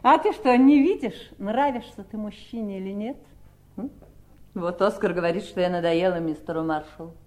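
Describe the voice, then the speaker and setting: An actress playing a society woman in a radio drama, expressive and questioning. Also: mid-range voice, moderate pace, natural voice. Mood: teasing, admiring. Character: society woman in conversation with her sister-in-law. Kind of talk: radio drama stage acting.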